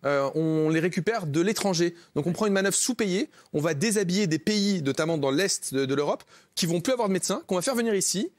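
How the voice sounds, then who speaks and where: Man, earnest political argument, fast talking, close dry sound. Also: high voice, animated, dry recording. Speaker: panelist on a debate show.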